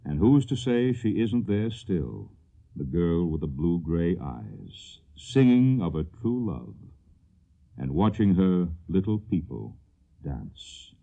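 Deep, dark-voiced folksy storyteller. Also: male voice, sing-song, slow pace, gravelly, exaggerated voice. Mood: wistful, tender. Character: solemn, folksy storyteller of an old legend. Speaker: narrator. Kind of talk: 1980s radio drama narration. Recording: dull, muffled radio recording.

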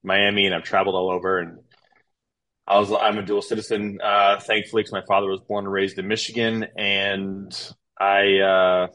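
Chatty man, low voice. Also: little pitch movement, moderate pace, bright, forceful tone. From Canada. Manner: rambling, with frequent fillers and restarts. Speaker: podcast guest. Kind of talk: casual interview storytelling.

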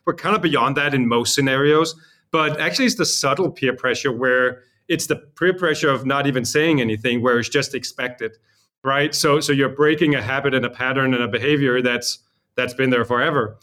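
A man's voice: mid-range voice, fairly quick, pushed and bright. A man from Denmark speaking as an interview guest and company founder, explaining things in an informal, conversational way.

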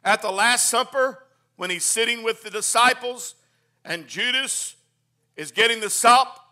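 A man preaching a Pentecostal sermon, swinging from hushed, quick asides to shouted hallelujahs. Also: very high-pitched. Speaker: pastor. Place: church.

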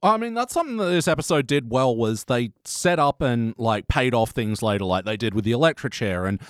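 Man chatting with a very lively pitch. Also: brisk, no echo, studio quality. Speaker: podcast host. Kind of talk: casual podcast review chat.

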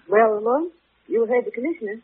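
An actress plays a poised, pert young woman in an old radio mystery. Her intonation is lively and a little grainy. She sounds set back from the microphone in a narrow, aged broadcast recording. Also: a little high, a little fast, distant mic, slight background noise, close to an everyday voice. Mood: anxious, questioning. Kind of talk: 1940s radio drama acting.

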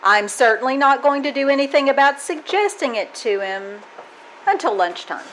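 A woman's voice: sits high, expressive, normal speed, a bit bright, a little hiss or hum, rough recording. A woman playing a dry, wisecracking schoolteacher in an old-time radio comedy performed live. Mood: dry, wry. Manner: dry, sardonic delivery.

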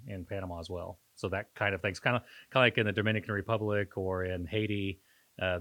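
A man co-hosting a podcast in casual, reflective conversation, pausing and searching for his words as he talks. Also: low-pitched, fairly bright tone. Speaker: podcast co-host.

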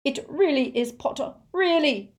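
A woman's voice: animated, unhurried. A woman voicing a frightened, desperate father wizard, pleading tearfully in an audiobook. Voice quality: high, tearful, wailing.

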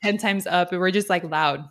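A woman hosting a relaxed podcast conversation, her voice sitting a little low. She talks in animated bursts, laughs often and restarts her sentences as she goes. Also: normal speed. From Honduran family in the U.S. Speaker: podcast host. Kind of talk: casual chat.

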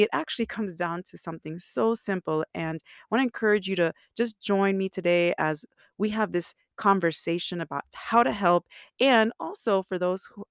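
A woman's voice: lowish voice, clean tone, no room sound, clean studio sound. A woman in her forties hosting a faith-based podcast, talking in an encouraging, prayerful way. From Miami area. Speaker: podcast host.

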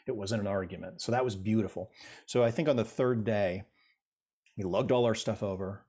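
A man's voice: on the low side, moderate pace, darkish tone. Chatty middle-aged man telling a story. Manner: rambling, self-deprecating storyteller with frequent restarts. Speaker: guest. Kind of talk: casual interview chat.

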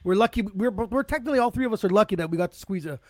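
Man, talk show host, high voice, rapid delivery, casual, slangy, sweary. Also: lively intonation, a little mellow. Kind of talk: casual chat, interviewing.

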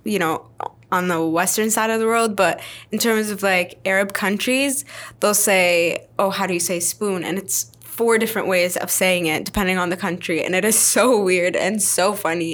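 A woman's voice: mid-range voice, normal speed, a bit bright. Enthusiastic woman chatting. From Canada. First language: Arabic. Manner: enthusiastic, laughs often, repeats words. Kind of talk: casual chat.

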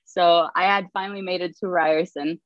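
Low-voiced young woman, dull lo-fi audio. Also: moderate pace, dry recording. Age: 20s. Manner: relaxed, with frequent fillers and light laughs. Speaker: author and podcast guest. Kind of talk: casual storytelling.

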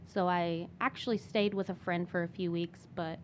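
Low-voiced young woman chatting casually. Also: steady tone, moderate pace, a little hiss or hum. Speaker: podcast interview guest. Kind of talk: casual personal chat.

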